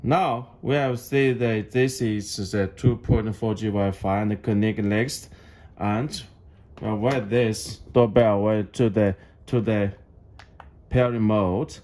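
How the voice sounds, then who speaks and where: A man who speaks English as a second language gives an unscripted step-by-step product demonstration, halting and repeating phrases as he goes. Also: fairly low pitch, steady tone, a little mellow, a little hiss or hum. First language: not English.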